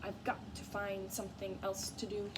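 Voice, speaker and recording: A woman's voice: mid-range voice, monotone, normal speed, lightly acted. A woman voicing a spirited, stubborn young girl mouse in a storybook, earnest and emphatic. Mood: frustrated. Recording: clear background noise.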